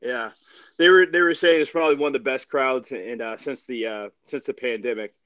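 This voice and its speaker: Halting man with dull, dry sound. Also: mid-range voice, a bit bright, no echo. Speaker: wrestling podcast co-host. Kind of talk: casual sports recap chat.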